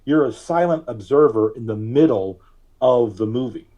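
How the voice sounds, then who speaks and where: A man being interviewed as a filmmaker, telling stories in an explanatory, conversational way with drawn-out pauses. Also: medium pitch, lively intonation, dark tone, dull audio. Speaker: guest, filmmaker.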